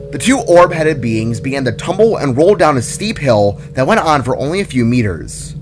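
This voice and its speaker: A man reading a scripted fantasy story as narrator in a dramatic style, with faint music behind. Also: mid-range voice.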